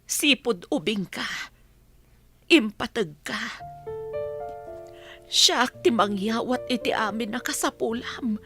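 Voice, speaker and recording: Slow, high-voiced caring Filipina family maid. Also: female voice, lively intonation, fairly bright tone, breathy edge, exaggerated voice. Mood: reproachful. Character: caring older family maid, plainspoken, protective nanny. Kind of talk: Ilocano radio drama acting. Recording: dry studio, music underneath.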